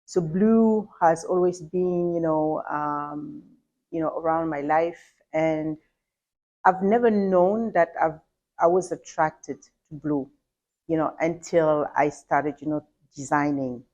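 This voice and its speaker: A woman from Senegal, a fashion designer talking about her brand in an interview, pausing often with fillers and restarts. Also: deep voice, darkish tone, dull audio. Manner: hesitant, many fillers and restarts. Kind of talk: interview, answering questions.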